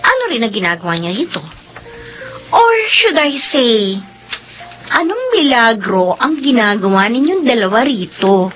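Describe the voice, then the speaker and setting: A woman's voice: on the high side, big pitch swings, a little slow, fairly bright tone, soft background music. A woman playing a suspicious, scornful young daughter in a melodramatic drama, sarcastic and exclamatory. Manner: sarcastic, exclamatory, mixes in English. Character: suspicious, scornful daughter confronting her mother. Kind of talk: melodramatic radio drama acting.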